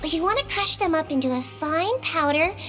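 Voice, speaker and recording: A woman voicing a small, kindly girlish head nurse in a video game, gentle, reassuring and instructive. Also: very high voice, lively intonation, moderate pace. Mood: cheerful, instructive. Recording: music under the voice.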